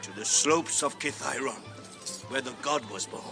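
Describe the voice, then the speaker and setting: A man's voice: mid-range voice, normal speed, gravelly, pushed and bright, soft background music. An actor playing an exuberant old blind prophet in a radio drama, relishing his words. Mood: playful, wistful.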